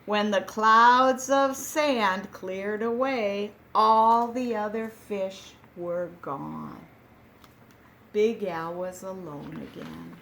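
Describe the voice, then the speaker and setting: A woman reading a children's picture book aloud, gentle and expressive, with dramatic pauses. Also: mid-range voice, a little hiss or hum.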